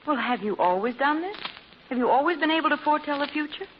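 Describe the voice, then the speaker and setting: An actress playing a quick-witted young woman companion in a 1940s radio drama, on an old muffled broadcast recording. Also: sits high, lively intonation, a little hiss or hum.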